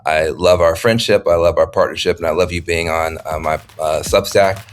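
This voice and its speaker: A middle-aged man hosting an interview, musing aloud with many fillers and getting animated when he laughs at a point. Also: low-pitched, normal speed. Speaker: host. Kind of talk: interview conversation.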